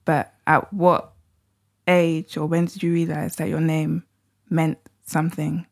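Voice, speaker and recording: A woman's voice: low-pitched, flat delivery, unhurried. A woman hosting a podcast, asking her guest questions in a friendly, curious way. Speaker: podcast host.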